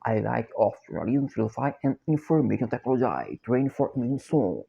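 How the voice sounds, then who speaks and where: A middle-aged Brazilian man with a Portuguese accent, speaking English slowly and haltingly in a repetitive, chant-like monologue. He repeats short phrases over and over like a mantra. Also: mid-range voice, sing-song, vocal fry, breathy edge. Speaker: self-described copywriter and philosopher. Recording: dull lo-fi recording.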